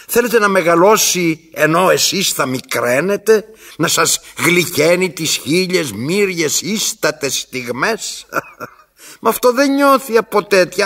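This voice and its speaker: A weary, bitter old man in a theatrical radio drama. His voice sits fairly high for a man, rough and gravelly, and it swings widely as he declaims in short, broken pronouncements. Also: fairly bright tone, heavily acted. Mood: bitter, mocking. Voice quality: raspy, gravelly. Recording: archival radio drama recording.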